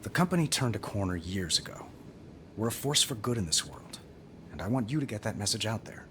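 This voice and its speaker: An actor playing a cocky, wisecracking billionaire inventor and hero in a video game, glib, wry and self-assured. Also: male voice, on the low side, very animated, a little fast, a bit bright, a little hiss or hum. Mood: self-assured persuasion. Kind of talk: video game cutscene acting.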